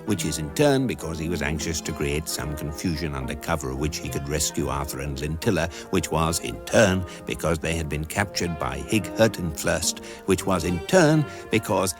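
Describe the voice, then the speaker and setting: A deep British storyteller, wry and learned, with music behind him. His scripted comic narration is measured and deadpan, yet widely inflected. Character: unruffled narrating electronic guidebook, wry, learned man.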